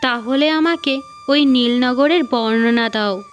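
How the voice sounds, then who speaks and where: A woman's voice: high voice, slow pace, lo-fi sound. A woman voicing a young, earnest cartoon princess who speaks formally and firmly, with music behind. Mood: commanding. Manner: formal, declarative storybook speech.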